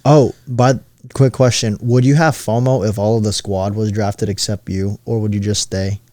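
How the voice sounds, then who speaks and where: A man's voice: lowish voice, steady tone, normal speed, warm tone, dry recording. A man co-hosting a casual podcast, laid-back and slangy, tossing teasing questions at his friend. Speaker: podcast co-host.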